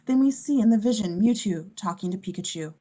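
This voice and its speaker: A woman's voice: medium pitch, lively intonation, fairly quick, dark tone. A woman reading scene descriptions as narrator in a table read of a script.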